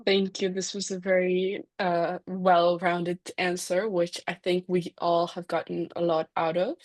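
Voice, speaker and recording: A woman's voice: on the low side, little pitch movement, unhurried, darkish tone, no echo. A woman hosting a webinar interview, asking questions politely with some hesitation, over a video call. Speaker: webinar host.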